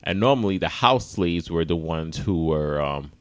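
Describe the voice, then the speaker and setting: A man's voice: sits low, animated, moderate pace, no room sound. A man hosting a casual sports talk podcast, speaking in a conversational, opinionated way. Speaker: podcast host.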